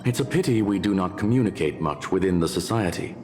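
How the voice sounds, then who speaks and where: A man voicing a calm, logical robot scholar in a video game, speaking in a formal, measured way. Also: sits low. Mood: measured, courteous. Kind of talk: scripted video game dialogue. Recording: long reverb, music behind.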